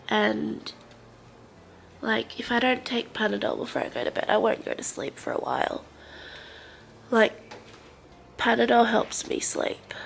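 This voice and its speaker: A young Australian woman talks to a handheld camera in her living room, her voice stuffy from a blocked nose. She speaks slowly and with a gravelly fry, pitched a little high, wandering through her thoughts with plenty of pauses and fillers. A faint hiss sits behind her. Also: low-quality recording. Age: young adult. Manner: rambling, hesitant, many fillers. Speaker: vlogger. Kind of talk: casual vlog chat to camera. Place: living room of a house, indoors. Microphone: handheld camera at arm's length.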